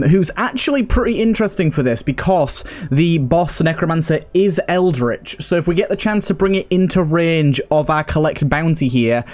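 A man's voice: on the high side, a little mellow. A man giving casual let's play commentary as a gaming host, chatty and thinking aloud.

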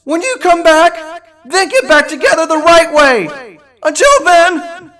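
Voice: very high voice, very animated, slow pace, fairly bright tone, exaggerated voice. A performer playing an anxious teenage grandson in a cartoon audio drama, hesitant and whiny. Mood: furious. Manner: nervous, hesitant. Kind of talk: fan-made cartoon audio drama acting.

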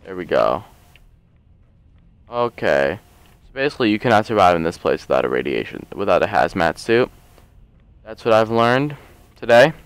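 A man casually commenting on his gameplay, rambling and joking as he thinks aloud, a little hoarse from a long session. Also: on the low side, very animated, normal speed, long pauses, no echo. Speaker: let's play host.

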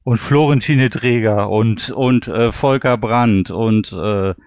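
A man's voice: lowish voice, slow, darkish tone, no echo. A man co-hosting a casual panel chat about audio dramas, talking enthusiastically and leaning on emphatic words. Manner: enthusiastic, often repeats "wirklich". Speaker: podcast host.

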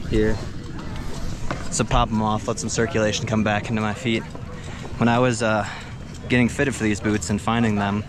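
A young man in his twenties chatting casually to an action camera outdoors at a ski resort. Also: fairly low pitch. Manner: relaxed, chatty, upbeat. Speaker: vlogger. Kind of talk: casual vlog narration while skiing. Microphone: action camera on chest harness.

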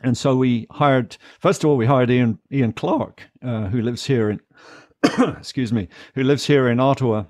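An older man from Britain, chatting as a guest musician in a relaxed, rambling way full of fillers and restarts. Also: medium pitch, moderate pace, dry recording, clean studio sound. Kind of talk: casual interview talk. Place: home music studio room. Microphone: studio mic, headphones on.

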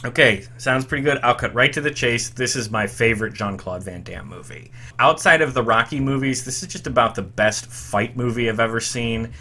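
A man in his thirties hosts a retro music podcast from his home room. His tone is bright and forceful, and he talks like an eager, emphatic fan. He sits at a desk mic. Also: medium pitch, a little fast, few pauses. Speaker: podcast host. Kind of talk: enthusiastic retro music commentary.

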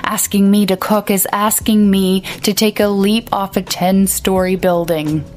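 A woman's voice: medium pitch, little pitch movement, measured pace, darkish tone, lightly acted. A woman doing a vain, whiny young woman. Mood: pleading. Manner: whining, pleading.

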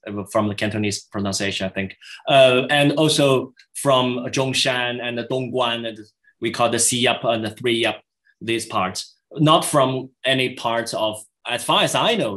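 A middle-aged man, a native Chinese speaker, answering questions after a lecture in halting English with many restarts, over a video call. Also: mid-range voice, a bit bright. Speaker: guest lecturer. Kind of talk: academic Q&A answers.

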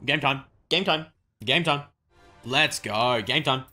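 An excitable video game streamer chatting exclamatorily on a livestream. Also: medium pitch, slow, soft background music.

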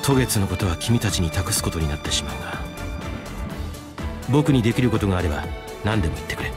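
Composed, low-voiced young commander. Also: male voice, lively intonation, over music. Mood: calm, resolute. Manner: measured, earnest, gently formal. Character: composed, courteous young male military commander. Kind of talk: Japanese video game voice acting.

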